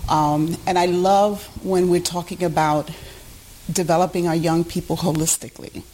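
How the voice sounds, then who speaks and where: A woman's voice: on the low side, steady tone, normal speed, dark tone, low-quality recording. A middle-aged woman from New York, born in Puerto Rico, giving earnest, explanatory answers as an interview guest. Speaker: guest, youth education founder.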